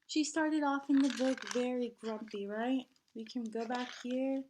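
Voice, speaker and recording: A woman reading a children's picture book aloud in an animated teacherly way, guiding young listeners with questions and prompts. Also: fairly high pitch, unhurried, slight background noise.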